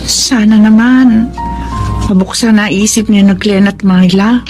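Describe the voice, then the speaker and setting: A woman acting a caring, understanding young best friend with a gentle, sympathetic delivery in a radio drama. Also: mid-range voice, fairly even intonation, a little slow, close to an everyday voice. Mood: concerned, supportive. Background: music.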